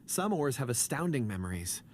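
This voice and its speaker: A man playing a gentle, polite young man in a video game, courteous, earnest and a little hesitant. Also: medium pitch, sing-song, fairly quick, slight background noise, natural voice.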